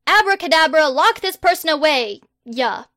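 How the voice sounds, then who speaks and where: A woman's voice: high voice, expressive, normal speed, pushed and bright, no echo, studio quality, pitched above the performer's own voice. A woman voicing a pompous, self-proclaimed boy wizard hero who makes grandiose, bossy proclamations.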